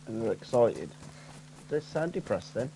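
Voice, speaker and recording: A man chats casually during a game, mostly with brief agreeing replies and dry asides. His voice sits at an ordinary pitch. Faint noise can be heard behind him. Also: very animated, unhurried, creaky, mellow, rough recording. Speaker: gaming friend. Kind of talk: casual chat.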